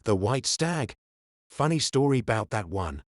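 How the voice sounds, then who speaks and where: A man's voice: medium pitch, very animated, measured pace, no room sound, studio quality. A man playing a folksy English zookeeper who tells things in a colloquial, matter-of-fact way in an audio drama. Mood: folksy, bemused. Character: plain-spoken, folksy English male zookeeper. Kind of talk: fan audio drama acting.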